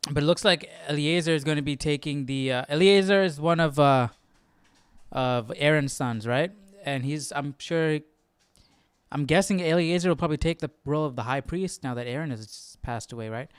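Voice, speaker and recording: Clear-voiced man thinking aloud, dry close sound. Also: fairly high pitch, no room sound. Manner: halting, musing, often tags remarks with "right?". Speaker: host of a Bible study livestream. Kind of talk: informal thinking-aloud commentary.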